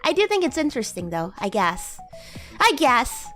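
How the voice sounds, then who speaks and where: A woman's voice: high voice, very animated, normal speed, a bit bright, soft background music. A woman voicing a bratty, haughty young demon class president in a livestream roleplay, teasing and condescending as she improvises. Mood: curious, condescending. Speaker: VTuber streamer playing a roleplay character.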